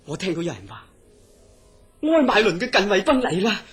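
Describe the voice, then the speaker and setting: A man's voice: sits high, huge pitch swings, moderate pace, a bit bright, husky, faint music behind, full character voice. A man acting a troubled, obsessed dreamer in a horror radio drama, speaking Hong Kong Cantonese in an earnest, dramatic way. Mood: obsessed, intense.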